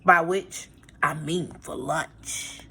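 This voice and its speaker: A woman's voice: low voice, drawn-out words, sharp tone, heavily acted. A woman voicing a sly, greedy male wolf with mock-polite manners in a storybook read aloud. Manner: smooth-talking, mock-polite.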